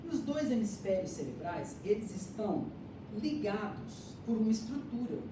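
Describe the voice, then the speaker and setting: A woman's voice: medium pitch, sing-song, moderate pace. Woman, lecturer, explains and asks students questions, echoey room, distant mic, background noise. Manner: explanatory, checks understanding with "tá?" and "ok?". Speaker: lecturer teaching hypnosis and neuroscience.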